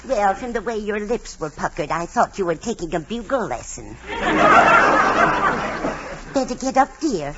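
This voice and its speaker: A woman's voice: medium pitch, big pitch swings, a little slow, long pauses, some chatter behind. An actress playing a scatterbrained, motherly landlady who fusses and chatters in a 1940s radio comedy. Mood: teasing, motherly. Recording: muffled, noisy 1940s radio recording.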